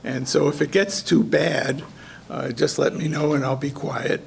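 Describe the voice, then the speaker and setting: A hoarse man organizing a contest gives halting welcome remarks at a ceremony, with many ums and restarts. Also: on the high side, steady tone, slight background noise. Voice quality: gravelly. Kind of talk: informal ceremony speech. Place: community event hall.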